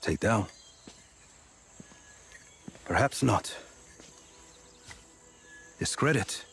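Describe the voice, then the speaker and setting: A man's voice: on the low side, expressive, very slow, creaky, a bit bright, slight background noise, full character voice. A man playing an earnest, erudite archaeologist in a video game, speaking precisely. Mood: earnest, measured. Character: earnest, scholarly male archaeologist, precious about relics. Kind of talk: video game dialogue acting.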